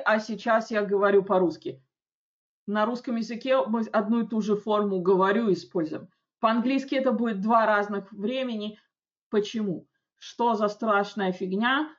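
A woman teaching an English grammar lesson, explaining rules in a chatty way with frequent checks and slow, careful example sentences. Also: mid-range voice. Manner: reads English examples slowly and distinctly. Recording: dull lo-fi audio.

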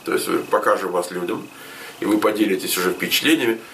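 A low-voiced man hosts a relaxed interview, speaking quickly and nudging his guest along with short prompts. He sits some way from the microphone, with a faint hum of the room behind him. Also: gravelly, recorded from a distance. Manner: brisk, prompting with short "так" and questions. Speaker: interview host. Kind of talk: casual interview.

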